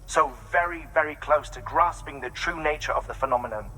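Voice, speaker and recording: Bright-voiced eager male scientist. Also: on the high side, a little fast, a little hiss or hum, low-quality recording. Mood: urgent fascination. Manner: eager, formal, precise wording. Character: excited, bookish male scientist studying fossils and extinctions. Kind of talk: video game cutscene acting.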